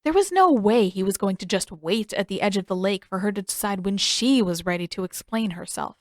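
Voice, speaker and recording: A woman's voice: medium pitch, animated, a little fast, a little mellow, no echo, clean studio sound. A woman reading scripted story narration as an audiobook narrator.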